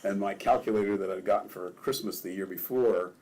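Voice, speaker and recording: An older man from Maryland, a ward councilman, telling relaxed stories in a casual interview and chuckling often. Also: lowish voice, brisk, a little hiss or hum.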